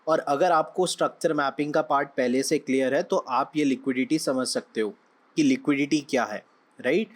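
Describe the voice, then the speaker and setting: A young man, slightly hoarse, teaching a trading lesson in a conversational way, often checking in with his listeners. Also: medium pitch, normal speed. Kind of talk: explaining lesson with analogies.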